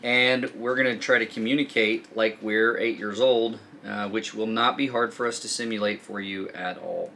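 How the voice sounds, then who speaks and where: Bright-voiced man joking through a gadget demo. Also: on the low side, steady tone, normal speed, vocal fry, hardly any pauses, a little hiss or hum. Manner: joking, teasing, informal. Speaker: host of a product review show. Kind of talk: casual unboxing and product demo. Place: studio.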